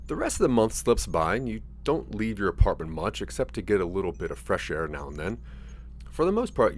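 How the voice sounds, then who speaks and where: Low-voiced man narrating, lively intonation. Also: slight background noise. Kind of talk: scripted second-person audio drama narration.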